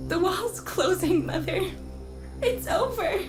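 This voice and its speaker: An exasperated young daughter in a feuding family from a video game. Her high voice swings expressively and carries a sarcastic edge, with music underneath. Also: animated, takes it slowly, heavily acted. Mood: alarmed.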